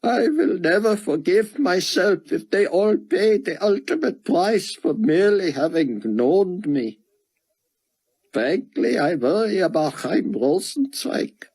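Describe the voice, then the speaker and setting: Worried elderly rabbi, high and breathy, read by a male narrator. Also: big pitch swings, slow, darkish tone, heavily acted, rough recording. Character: elderly male rabbi, soft-spoken, fearful for friends.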